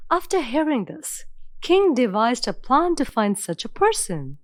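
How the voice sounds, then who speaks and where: A woman narrating an animated fairy tale in an expressive, storybook way. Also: on the high side, measured pace, no echo, studio quality.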